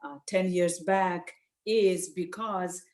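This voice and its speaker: An older woman from Nepal with a Nepali accent, giving informal, earnest advice as a guest speaker, with frequent hesitant pauses. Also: fairly low pitch, steady tone, a little mellow, slight background noise. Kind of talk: informal advice talk to parents. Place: virtual session over video call.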